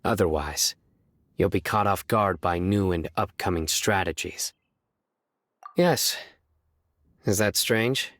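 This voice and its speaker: A man voicing a serious, stoic young lawman in a video game, earnest and deadpan with a measured way of talking. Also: low voice, dry recording. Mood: calm, serious. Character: serious, stoic young male lawman who earnestly tells bad jokes. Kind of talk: video game character voice acting. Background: music.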